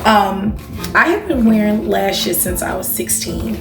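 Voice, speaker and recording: A woman in her thirties chats like a beauty vlogger in an echoey room, with music in the mix. Her pitch sits in the middle for a woman. She talks casually and familiarly to her audience, tossing in emphatic check-ins. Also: lively intonation, unhurried, gravelly. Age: 30s. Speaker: beauty vlogger and channel host. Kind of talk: casual, chatty vlog talk to camera.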